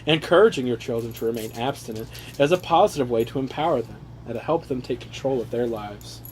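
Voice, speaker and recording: A man's voice: medium pitch, fast talker. A young man reading aloud to people he knows in a mock-earnest, sweary, jokey way, slipping into casual asides. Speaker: reader among his brothers. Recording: noisy amateur home recording.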